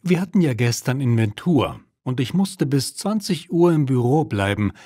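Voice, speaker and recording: A man playing a tired but sociable thirty-year-old office worker, telling his story clearly in a scripted language-lesson dialogue. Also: medium pitch, very animated, moderate pace, mellow, dry recording, studio quality. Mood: recounting.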